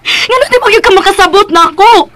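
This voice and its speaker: An actress with a Visayan Filipino accent playing an indignant, defiant young rich daughter in a radio drama. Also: female voice, very high-pitched, quick pace, fairly bright tone. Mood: hurt, indignant. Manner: indignant, melodramatic. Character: defiant, wealthy young daughter resisting arranged marriage.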